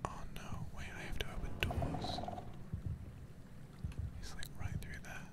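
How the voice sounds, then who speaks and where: A man streaming a game ASMR-style, murmuring casual, sweary commentary in a hushed, whispery, gravelly voice close to the mic. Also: a little slow.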